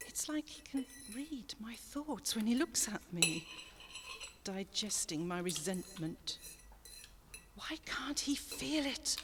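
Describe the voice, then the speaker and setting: A woman playing a grieving, resentful mother sliding into madness, with brooding bitterness, in a horror audio drama. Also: on the high side. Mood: brooding, bitter. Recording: long reverb, faint noise.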